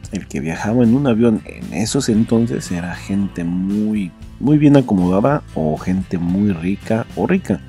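Guy chatting on his podcast, low mellow voice, guitar music behind. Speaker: podcast presenter. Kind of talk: casual commentary.

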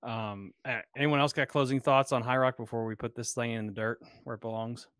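A man's voice: mid-range voice, steady tone, fairly quick, fairly bright tone, no room sound. A man in his thirties hosting a casual group podcast chat, talking into a close desk microphone. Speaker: podcast host.

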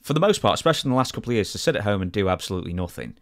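A man's voice: fairly low pitch, very animated, racing, no room sound, clean studio sound. A man hosting a casual podcast chat, putting questions and comments to his guest in an informal way. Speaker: podcast host, interviewer.

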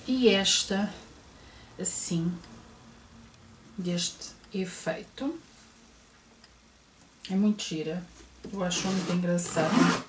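A middle-aged woman with a European Portuguese accent talks slowly through a clothing haul, full of hesitations and little asides to her viewers. She is picked up by a camera across a bedroom, so her voice sounds distant and roomy. A faint hiss sits under it. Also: fairly low pitch. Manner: chatty, hesitant, many fillers, addresses viewers. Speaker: fashion vlogger. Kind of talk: casual clothing haul show-and-tell. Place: bedroom at home, indoors. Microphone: camera across the room.

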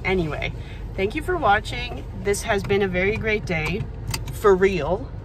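A woman's voice: medium pitch, a little slow, background noise. A woman in her twenties vlogging candidly from a car, talking to a phone held at arm's length. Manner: chatty, candid, talks to her dog. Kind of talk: casual vlog chat. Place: car interior and outdoor beach. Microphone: phone camera at arm's length.

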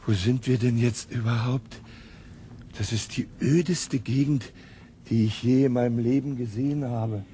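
A man's voice: medium pitch, steady tone, unhurried, warm tone, slight background noise, lightly acted. An actor playing a nervous, bewildered man in a radio drama, hesitant and stammering, often repeating his words. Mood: incredulous. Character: nervous, bewildered companion, unwilling visitor. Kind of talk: German radio drama acting.

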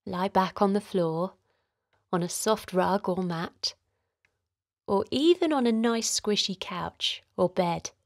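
A woman gently guiding a children's relaxation in a soothing, encouraging way, her voice softly breathy and hushed at times. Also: mid-range voice, takes it slowly, no room sound, studio quality. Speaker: host of children's guided relaxation. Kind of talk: guided meditation narration for children.

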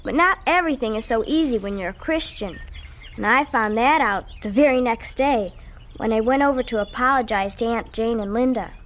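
Woman as a high-voiced, earnest little farm girl. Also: fairly quick, a bit bright, a little hiss or hum, close to an everyday voice. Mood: happy, reflective. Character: earnest, devout farm girl, innocent and excitable. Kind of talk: radio drama acting.

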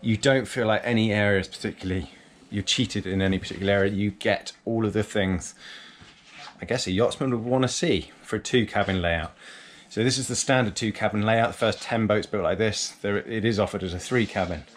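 A man's voice: on the low side, a little fast, slight background noise. A man presenting a casual walk-through boat review in clipped, fragmentary phrases, recorded on a handheld action camera. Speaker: presenter reviewing a sailing yacht.

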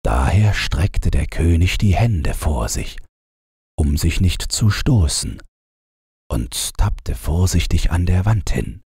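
A man's voice: low-pitched, sing-song, takes it slowly, a little mellow, breathy edge, no echo, studio quality. A man narrating a bedtime fairy tale as an audiobook reader.

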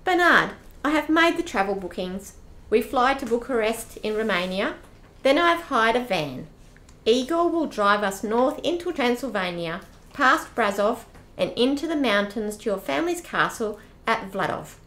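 Woman as a high, lilting vampire countess. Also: moderate pace. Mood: brisk. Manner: expressive, inquisitive. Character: refined, eager vampire countess and wife. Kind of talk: old-time radio play acting.

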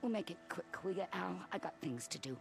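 A heavily gravelly woman's voice as a harsh camp boss in a game drama. She is curt and commanding with animated pitch, music clearly behind. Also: a little fast. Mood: impatient. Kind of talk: naturalistic game cutscene acting.

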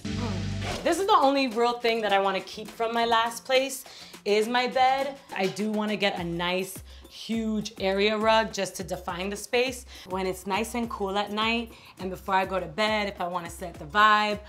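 A chatty, playful woman in her thirties walks through the bright rooms of her home on a camera mic. Her pitch sits mid-range, and her asides stretch out loosely. Faint music plays beneath her. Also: normal speed. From New York. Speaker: vlog host. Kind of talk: casual house tour vlog.

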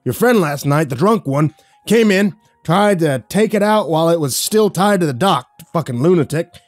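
A man voicing an angry old male dockmaster who yells and spits in rage, in a spoken horror story. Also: a little high, lively intonation, normal speed, no echo, soft background music, pitched above the performer's own voice. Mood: furious. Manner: yelling, spitting mad.

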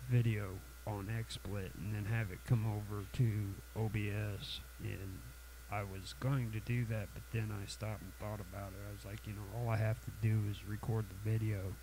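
A man with a cold hosting a casual software tutorial, halting and full of fillers and restarts. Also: on the low side, level tone, background noise. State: has a cold, sniffly. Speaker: tutorial channel host.

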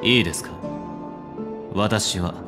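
A man voicing a composed, elegant young head of a family in a video game, speaking formally and deliberately with quiet menace. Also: on the low side, music in the background. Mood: stern, controlled. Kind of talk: dramatic video game voice acting.

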